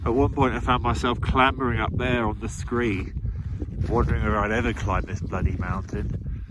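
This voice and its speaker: A man with a nasal voice, chatting in an awed, thinking-aloud way as he vlogs a solo climb outdoors high on a snowy mountain. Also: steady tone, moderate pace, background noise. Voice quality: nasal, from ski goggles. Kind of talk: casual vlog commentary. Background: music.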